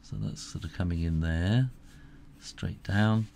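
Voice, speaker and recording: A middle-aged man giving a casual step-by-step drawing tutorial, unhurried and thinking aloud as he works. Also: low voice, creaky, darkish tone.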